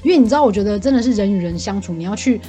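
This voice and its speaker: A woman's voice: mid-range voice, animated, racing, mellow, faint music behind. A woman with a Taiwanese accent chatting casually as a podcast co-host, telling stories in a talkative, exasperated way. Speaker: podcast co-host venting as a bar customer. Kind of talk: casual chat, animated storytelling.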